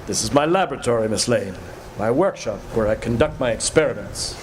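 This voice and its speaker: A man's voice: medium pitch, animated, a little fast, slight background noise, close to an everyday voice. A man playing a polite, menacing mad scientist in an old-time radio drama, formal and coolly threatening. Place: live performance in a café.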